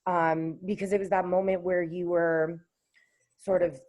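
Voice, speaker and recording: A woman with a low contralto voice, a singer-songwriter talking casually in an interview, often pausing with fillers and restarting her sentences. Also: flat delivery, a little mellow. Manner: hesitant, with many fillers and restarts.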